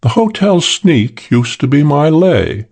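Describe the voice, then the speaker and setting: A man voicing a suave, self-assured English gentleman thief telling a wry crime story for an audiobook, with old-fashioned British slang. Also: on the high side, sing-song, slow pace, vocal fry, exaggerated voice. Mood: wry, boastful.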